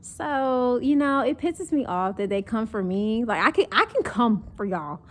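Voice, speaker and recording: Young woman vlogging, southern carolina twang with some northern mixed in, chatty and animated, kinda high voice. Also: moderate pace. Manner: chatty, animated, acts out mocking quotes. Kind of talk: casual chat to camera.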